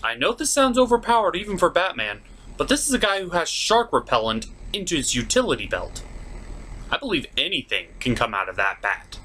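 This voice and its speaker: Animated, sarcastic male cartoon reviewer. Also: mid-range voice, a little hiss or hum. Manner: sarcastic, theatrical. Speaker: host of a puppet cartoon review show. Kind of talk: scripted comic review narration.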